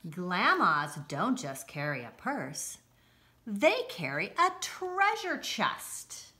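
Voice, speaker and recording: A middle-aged woman reading a children's picture book aloud, deliberately and with expressive emphasis, recorded indoors a short distance from the camera. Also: lowish voice. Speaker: school read-aloud presenter. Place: indoors, home-like room with armchairs.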